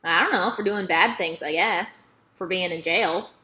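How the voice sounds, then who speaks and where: A woman's voice: fairly low pitch, moderate pace, sharp tone. A woman voicing an innocent, curious young girl who asks earnest, hesitant questions in a children's storybook. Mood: worried, curious. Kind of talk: children's novel read-aloud.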